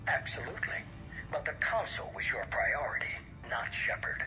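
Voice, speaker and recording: An actor playing a cold, measured, commanding leader of a shadowy organisation in a video game. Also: male voice, fairly high pitch, sing-song, rough recording. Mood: measured, calculating. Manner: measured, authoritative, dismissive. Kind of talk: video game cutscene acting. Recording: music behind the voice.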